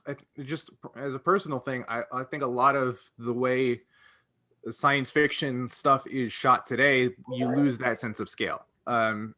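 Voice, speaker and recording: Bright-voiced man, closely recorded and dry. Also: mid-range voice, no echo. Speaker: podcast co-host. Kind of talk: casual fan discussion.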